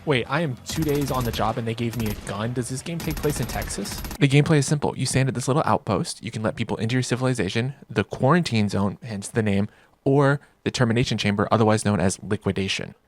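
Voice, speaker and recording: A man's voice: mid-range voice, fast talker, slight background noise. A man narrating a video game review in an informal, wry, joking way, with casual reactions. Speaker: gaming video creator.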